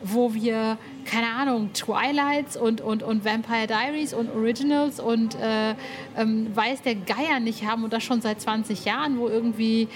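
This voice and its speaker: A woman's voice: fairly high pitch, fairly even intonation, measured pace. A woman hosting a podcast interview, chatting in an enthusiastic, rambling and colloquial way. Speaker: podcast host. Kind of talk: casual interview chat.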